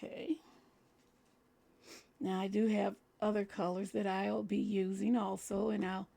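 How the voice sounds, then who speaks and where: A woman with a husky, gravelly voice talks through a craft project step by step. Her tone is dark and mellow, and a cold leaves it scratchy throughout. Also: mid-range voice, little pitch movement, a little slow. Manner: chatty, talks through each step as she works. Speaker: crafting channel host. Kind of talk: casual crafting tutorial talk.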